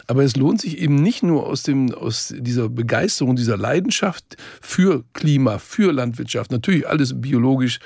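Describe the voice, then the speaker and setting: Gravelly, husky man, enthusiastic radio talker. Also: mid-range voice, animated, moderate pace, few pauses, darkish tone, dry recording, studio quality. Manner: enthusiastic, effusive. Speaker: radio co-host and food reporter. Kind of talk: enthusiastic conversational radio talk.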